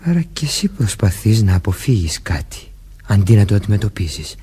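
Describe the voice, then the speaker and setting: An actor playing a nervous, childlike young man in a radio mystery play, hesitant and plaintive, with a husky, breathy voice. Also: fairly low pitch, very animated, mellow, full character voice. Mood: hesitant, gentle. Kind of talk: Greek radio theatre acting.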